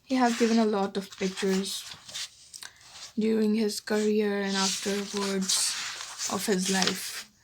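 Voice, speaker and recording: A woman's voice: medium pitch, flat delivery, slow, noisy background. A woman casually showing and reading from a book as a vlogger, in an amateur recording. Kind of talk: casual book flip-through and read-aloud.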